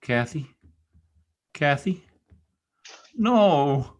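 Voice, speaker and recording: An actor playing an anguished, passionate, brooding lover in an intense, theatrical old-time radio style. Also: male voice, on the high side, sing-song, drawn-out words, full character voice. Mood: anguished grief. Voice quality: gravelly, husky. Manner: intense, grief-stricken, theatrical.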